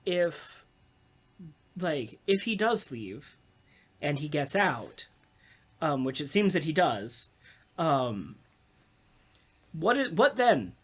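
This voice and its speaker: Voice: mid-range voice, sing-song, slow pace, pauses often, husky. A podcast co-host chatting casually about a radio play, rambling along with wry humor.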